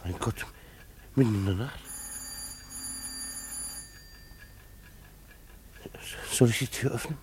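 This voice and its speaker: An actor in a German radio play playing a weary, dryly sarcastic husband, resigned and hesitant. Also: male voice, mid-range voice, fairly quick, darkish tone, a little hiss or hum, natural voice. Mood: wary, polite. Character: weary, dryly sarcastic husband, a civil servant who gives in.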